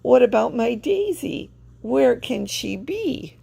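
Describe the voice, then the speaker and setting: A woman's voice: a little high, big pitch swings, takes it slowly, gravelly, slightly rough, pitched above the performer's own voice, heavily acted. A woman voicing an anxious, loving farm girl in a storybook, calling out plaintively. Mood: worried, sad. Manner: calls out plaintively and loudly. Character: anxious, loving young farm girl searching for her hen.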